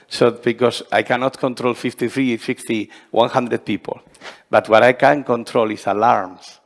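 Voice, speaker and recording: A man answering on a conference panel, carefully searching for his words. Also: mid-range voice. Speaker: panel speaker. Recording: long reverb of a large hall.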